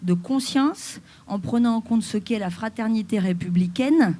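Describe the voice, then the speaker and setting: Measured woman with a mellow voice. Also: on the high side. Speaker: panelist, social worker with the homeless and Roma. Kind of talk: panel debate.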